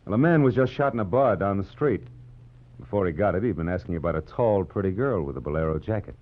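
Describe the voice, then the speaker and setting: A man's voice: deep voice, expressive, fast. An actor playing a tough, wisecracking American private detective in a 1940s radio drama, clipped and wry as he acts and narrates. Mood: wry, probing. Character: tough wisecracking private detective, sardonic and cool under threat. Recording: muffled vintage 1940s radio recording, music underneath.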